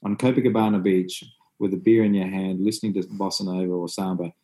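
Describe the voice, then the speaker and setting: A man talks about music in an interview in an eager, loose, rambling way, with plenty of "you know" and "and stuff." His voice is very deep and warm. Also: steady tone, fast talker. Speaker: musician in a band, guest. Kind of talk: conversational interview.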